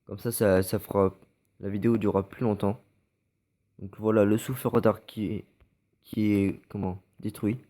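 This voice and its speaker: Mellow-voiced French man with a cold. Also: fairly low pitch, level tone, moderate pace. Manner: hesitant, many fillers and restarts. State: stuffy from a cold. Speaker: gameplay commentator. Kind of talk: casual gameplay commentary over recorded matches.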